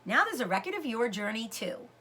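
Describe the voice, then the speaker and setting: Casting: eager, adventurous storybook pioneer boy, high and bright, played by a woman reader, lively delivery. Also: a little fast, breathy edge, exaggerated voice. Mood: eager, upbeat.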